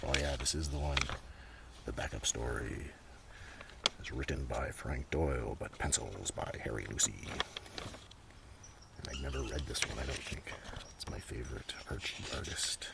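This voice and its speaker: A man giving a quiet, rambling ASMR show-and-tell, murmuring unhurriedly and drifting in and out of a whisper. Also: extremely low pitch, normal speed, pauses often. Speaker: ASMR video host. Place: outdoors in a gentle breeze. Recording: clear outdoor ambient noise. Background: outdoor ambience, breeze.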